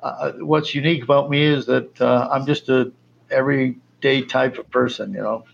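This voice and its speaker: A man from Colombia, a missionary guest, answering interview questions in a halting way with many fillers. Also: mid-range voice, steady tone, gravelly, dull audio.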